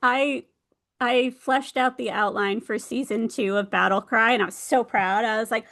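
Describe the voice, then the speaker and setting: Woman guest on a casual interview, kinda high voice, animated n chatty, does playful quotes. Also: normal speed. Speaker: guest author, ghostwriter and audio drama producer. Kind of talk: casual interview chat about writing.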